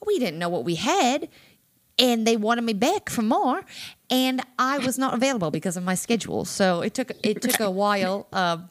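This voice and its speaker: Brief: woman as a rambling posh Australian starlet, quick to take offense, voice swooping all over. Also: a little high, big pitch swings. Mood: boastful. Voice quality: rambling, boastful, self-correcting. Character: self-important posh Australian actress, hot-tempered. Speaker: guest. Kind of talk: improvised comedy interview.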